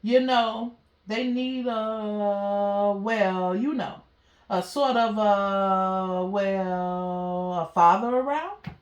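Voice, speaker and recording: Woman as a halting, slow-talking alley cat. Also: mid-range voice, reverberant, highs cut off. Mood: bashful, hesitant. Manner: hesitant. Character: friendly male alley cat, shy suitor.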